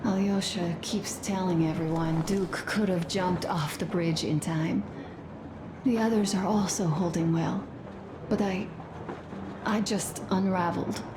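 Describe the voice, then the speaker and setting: An actress playing a tender young soldier's wife in a video game, confiding gently and sorrowfully. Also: female voice, on the low side, fairly even intonation, a little slow, close to an everyday voice. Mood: grieving. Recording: echoey room, noisy ambience.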